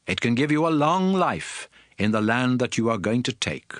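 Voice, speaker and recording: Man playing an earnest prophet, expressive and dry. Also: mid-range voice, normal speed, no echo, natural voice. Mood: earnest warning. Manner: solemn, exhorting. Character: Moses, earnest male prophet and lawgiver.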